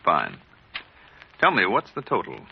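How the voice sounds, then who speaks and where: A crooked American boss from a 1940s radio crime drama, smug and condescending. His low voice moves with lively emphasis and brisk self-assurance. The recording is dull and muffled, like vintage radio. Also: vocal fry, a little hiss or hum, exaggerated voice. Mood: brisk, assured. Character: smug, crooked male boss of a charity racket.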